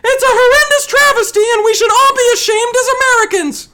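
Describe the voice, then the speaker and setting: A woman playing an earnest, indignant female expert in a forced high voice for a scripted comedy explainer. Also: fairly quick, background noise.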